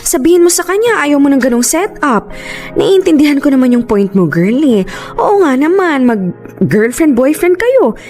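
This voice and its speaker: A woman's voice: high voice, very animated, moderate pace, darkish tone, faint music behind. A young woman with a Filipino accent acting a chatty, sympathetic best friend handing out advice in a radio drama. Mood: reassuring. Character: bubbly, supportive young best girlfriend giving advice.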